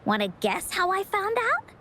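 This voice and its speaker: A woman voicing a cheerful, imaginative young girl who tells adventure stories in an expressive, childlike way for a video game. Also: very high voice, moderate pace, a little hiss or hum, exaggerated voice. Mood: proud, playful. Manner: childlike, expressive, storybook-style narration. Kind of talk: video game voice acting, storytelling.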